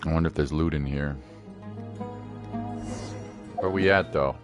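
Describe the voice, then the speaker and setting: A man's voice: rumbling low, unhurried. A man giving casual gameplay commentary, muttering to himself with playful asides. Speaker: gaming streamer. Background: video game music.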